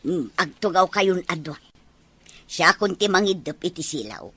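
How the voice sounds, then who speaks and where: Bright, husky, excitable older mother, acted by a woman. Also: fairly low pitch, a little slow, no echo, heavily acted. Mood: chatty. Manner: expressive, exclamatory. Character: affectionate, excitable older mother of a grown daughter. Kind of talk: Ilocano audio drama dialogue.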